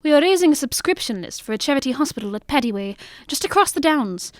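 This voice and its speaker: A shrewd gentleman detective played by a woman, pitched on the high side. The phrasing is brisk, genteel and old-fashioned, in amateur audio drama style, over a noisy recording. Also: lively intonation. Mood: genteel, persuasive.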